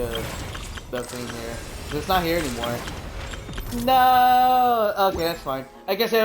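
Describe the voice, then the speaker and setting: Casting: woman casual game streamer, lower pitch, very lively, foul-mouthed and rambling. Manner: rambling, swears, laughs often. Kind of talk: casual gameplay commentary.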